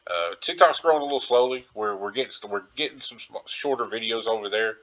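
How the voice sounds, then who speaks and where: A man's voice: on the low side, lively intonation, fast talker, fairly bright tone, rough recording. A man hosting a podcast solo, talking casually in a rambling, musing, speculative way. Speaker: podcast host.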